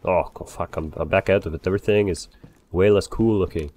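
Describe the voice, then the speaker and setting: A man improvising in character during a role-play game, excitable and swearing freely. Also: deep voice, a little fast, slight background noise. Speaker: role-play player, bar owner character.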